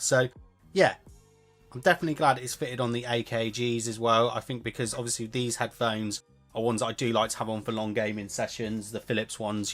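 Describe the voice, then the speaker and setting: A man's voice: lowish voice, a bit bright. A young man with a cold and a nasal voice, chatting casually through a product review at his home desk, rambling with plenty of fillers and restarts. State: has a cold, sounds nasal. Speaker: tech reviewer. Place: home desk setup beside a busy street.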